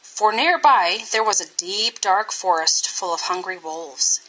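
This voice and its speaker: A woman reading a children's story aloud in an expressive, scripted style with dramatic, deliberate phrasing. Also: mid-range voice, poor audio. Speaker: storybook reader.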